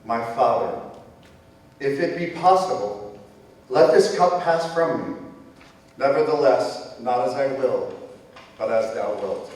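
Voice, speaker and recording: A man's voice: medium pitch, animated, slow, long pauses, a little mellow. Man reading as solemn Jesus in an echoing church. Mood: sorrowful, prayerful. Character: Jesus, solemn male teacher foretelling his betrayal. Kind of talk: dramatic scripture reading in church. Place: church during a service. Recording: very echoey church hall, distant microphone.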